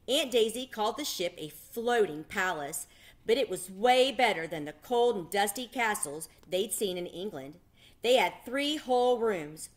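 A middle-aged woman reading a children's novel aloud with expressive, dramatic delivery. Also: medium pitch. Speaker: read-aloud storyteller. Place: small home room with bookshelves.

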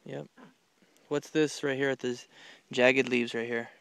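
A man's voice: mid-range voice, flat delivery, a little slow, gravelly, smooth, no echo. A man films his children outdoors in a home garden, asking them plant names and encouraging their answers. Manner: curious, gently quizzing, encouraging. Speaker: parent filming a garden tour. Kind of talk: casual quizzing chat with children. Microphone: handheld camera while walking.

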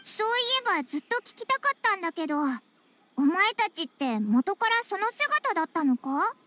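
A woman's voice: big pitch swings, brisk, heavy background noise. A woman voicing a small, cheeky, childlike fairy companion in an anime game, with brash, boyish speech. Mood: curious. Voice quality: squeaky, childlike. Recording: background music under voice.

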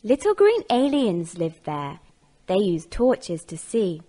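A woman's voice: mid-range voice, huge pitch swings, slow, mellow. A woman with an American accent narrating a scripted children's story.

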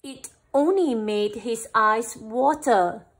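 A woman storyteller reading a picture book aloud for children, with animated, deliberate phrasing. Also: on the high side.

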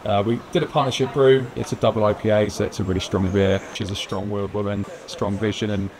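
A man's voice: lowish voice, flat delivery, fast, crowd noise. A man in his thirties with a southern English accent, speaking casually and informally in an interview as a local business owner. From Lyme Regis, southern England. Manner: informal, hedging with "kind of" and "you know". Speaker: brewery owner being interviewed. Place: outdoor courtyard by a stone wall. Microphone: lapel mic. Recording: clear outdoor street noise.

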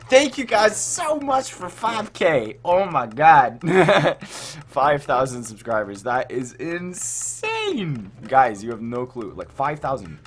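A man's voice: fairly high pitch, huge pitch swings, slow pace. A teenage male with a Canadian accent gives casual, rambling gameplay commentary, repeating himself for emphasis. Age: teens. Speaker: gaming YouTuber.

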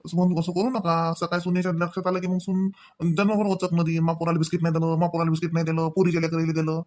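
A man's voice: a little high, monotone, very fast, darkish tone, a little hiss or hum, lightly acted. A man playing a fair-minded, chiding elderly village father-in-law in a comedy cartoon, speaking colloquially with a Varhadi Marathi accent and questioning tags.

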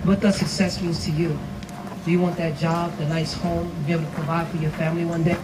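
A middle-aged man from New York, a program director, giving a measured, emphatic speech into a handheld mic on an outdoor stage. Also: on the high side, level tone. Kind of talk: motivational speech. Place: outdoor stage under a tent. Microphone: handheld mic, far camera. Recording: distant camera, loud outdoor noise.

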